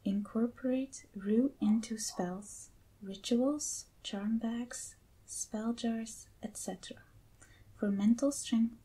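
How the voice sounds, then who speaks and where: A young woman speaks in a soft, whispery ASMR style, extremely breathy and close to the mic. Her voice is mellow and gentle, and she takes her time with slow, hushed phrasing. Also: fairly high pitch, poor audio. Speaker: ASMR creator unboxing items. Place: indoors at a table with a backdrop. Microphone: close ASMR microphone.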